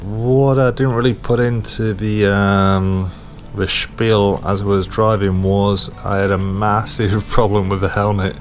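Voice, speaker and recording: A man narrating a motorcycle vlog in a casual way, with hesitant pauses as he talks. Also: on the low side, level tone. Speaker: motorcycle vlogger.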